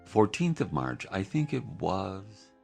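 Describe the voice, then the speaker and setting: Guy playing a muddled poor hatter, audio drama, nervous hesitant rambling, slow n creaky. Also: sits low, sing-song, darkish tone, faint music behind, exaggerated voice. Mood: nervous, apologetic.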